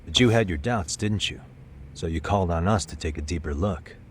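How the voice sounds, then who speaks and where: An actor playing a composed private detective in a video game dub, speaking in a measured, direct, probing way. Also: male voice, low-pitched, slight background noise, lightly acted.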